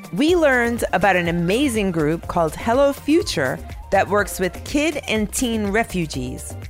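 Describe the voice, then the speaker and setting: A woman hosting a news podcast, reading a scripted, upbeat narration with clear diction. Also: medium pitch.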